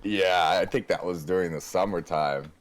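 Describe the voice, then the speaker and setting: A man's voice: deep voice, normal speed. A man joking around in casual voice chat, sometimes drawling out his phrases. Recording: clear background noise, clicks.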